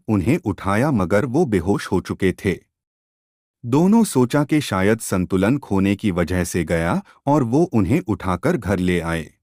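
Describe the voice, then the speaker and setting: A man tells a scripted eerie tale in a fairly low voice that rises and falls with plenty of expression. His delivery is very slow, measured and dramatic, as a storyteller's would be. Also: a little mellow, dry recording, studio quality. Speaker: storyteller, narrator. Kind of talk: scripted ghost-story narration.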